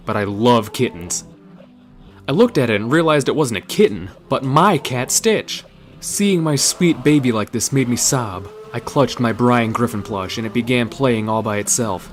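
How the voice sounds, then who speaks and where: A man narrating a horror story aloud in a theatrical, deliberate dramatic-reading style. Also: fairly low pitch, fairly bright tone.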